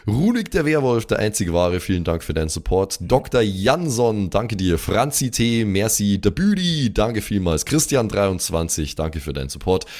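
Male podcast host, lowish voice, casual thank-you roll call, jokey and slangy. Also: big pitch swings, moderate pace, few pauses, a little mellow. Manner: jokey, slangy asides on names.

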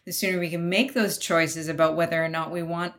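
A woman from Canada with a slightly low voice. She speaks as a panelist in casual discussion, reflective and a little halting as she works through her thoughts. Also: steady tone, fairly bright tone. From Vancouver, Canada. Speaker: podcast panelist.

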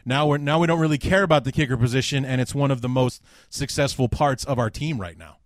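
A man with a baritone voice hosting a casual sports podcast, talking in loose rambling runs with restarts. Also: fast, no room sound, clean studio sound. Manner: rambling, restarts, laughs often. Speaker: podcast host.

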